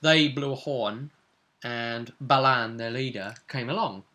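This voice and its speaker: A man's voice: medium pitch, a little slow, bright, forceful tone. A man, a game master casually recounting a tabletop role-playing session in a chatty storytelling way. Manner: chatty, conversational storytelling with tag questions.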